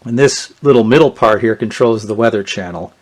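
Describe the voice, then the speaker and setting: A man's voice: on the low side, fairly quick. A man giving a casual, unscripted hands-on product walkthrough in a plainspoken, explanatory manner. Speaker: gadget reviewer. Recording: clear background noise and clicks.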